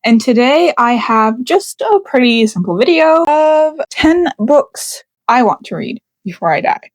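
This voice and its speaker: A woman's voice: high-pitched, lively intonation, a little slow, no room sound. A young woman from Canada whose first language is Russian, hosting a book channel in a chatty, self-deprecating way with many fillers and repeats. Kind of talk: casual chat to camera.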